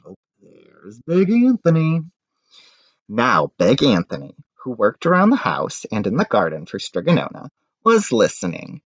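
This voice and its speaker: A man's voice: mid-range voice, huge pitch swings, a little slow. A man reading a picture book aloud to children like a teacher, expressively and with chatty asides. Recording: dull, echoey room recording.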